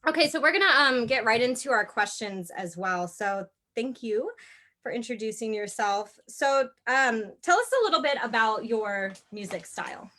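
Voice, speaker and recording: A woman's voice: a little high, expressive, normal speed, sharp tone. A woman hosting an interview, upbeat and full of praise, with frequent fillers. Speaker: interview host, acting coach.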